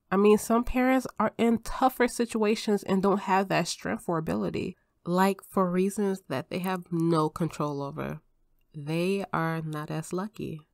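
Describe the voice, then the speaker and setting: Actress as tender, reassuring wife and step-parent, ASMR roleplay. Slow, gentle delivery, mid pitch, dry recording. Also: female voice, gravelly, dry recording. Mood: warm, encouraging. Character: affectionate, reassuring spouse and step-parent comforting partner.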